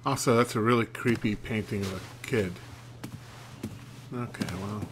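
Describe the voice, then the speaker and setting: A man's voice: fairly low pitch, steady tone, measured pace, noisy background. A man streaming a horror game, chatting and reading in-game notes aloud, sometimes stumbling over names. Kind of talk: let's play commentary and reading notes aloud.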